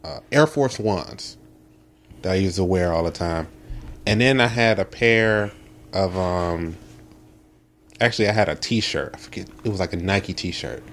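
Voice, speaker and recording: A man in his early thirties chatting casually and comically, telling stories in an animated, self-deprecating way. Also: deep voice, a little slow, long pauses, a little hiss or hum. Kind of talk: casual comedic chat.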